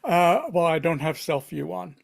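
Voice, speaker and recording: A man's voice: fairly high pitch, animated, moderate pace, gravelly. A man giving a casual self-introduction as an actor in a hesitant, wry way. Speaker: actor at a table read.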